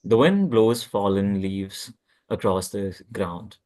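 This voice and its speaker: Low-voiced man reading stage directions. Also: lively intonation, takes it slowly, darkish tone. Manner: plain reading with stumbles. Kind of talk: scripted narration at a table read.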